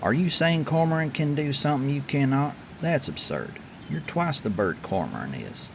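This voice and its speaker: Man acting a sly female raven, pitched up. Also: big pitch swings, normal speed, creaky, a little hiss or hum. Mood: scoffing, flattering.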